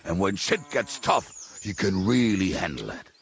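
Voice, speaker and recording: A roguish medieval mercenary for a video game. His voice is low and gravelly, and it swings widely up and down as he talks. He is blunt, colourful and jovial in a wry, foul-mouthed way. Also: sing-song, measured pace, a bit bright, slight background noise, heavily acted. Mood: amused. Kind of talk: dramatic video game dialogue.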